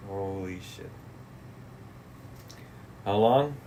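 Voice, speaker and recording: A man's voice: low-pitched, drawn-out words, mellow, slight background noise. A man in his late thirties chatting casually on a livestream, reading chat messages and replying in a laid-back, profane way that often trails off into mumbles. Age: 30s. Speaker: streamer.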